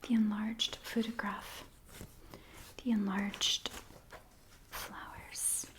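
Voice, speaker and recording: A woman speaks in a hushed, breathy whisper at a slow, unhurried pace. She performs a soft-spoken ASMR roleplay as a biographer, talking gently and attentively straight to the listener as if to a client. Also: mid-range voice, little pitch movement.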